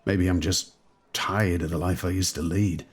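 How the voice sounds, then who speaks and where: Casting: reserved gentleman doctor, low husky voice, polite, understated, hesitant. Audio drama. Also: fairly even intonation, normal speed. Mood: guarded, gentle. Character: reserved gentleman doctor, weary of his old life.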